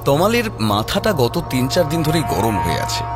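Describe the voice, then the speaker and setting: Animated male storyteller over music. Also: medium pitch, normal speed. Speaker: narrator. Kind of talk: dramatic scripted horror story narration.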